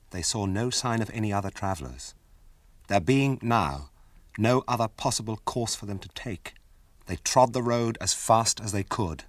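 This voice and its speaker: A man playing a sober storyteller, narrating a fantasy radio drama in a measured, deliberate British accent. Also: on the low side, no echo, lightly acted.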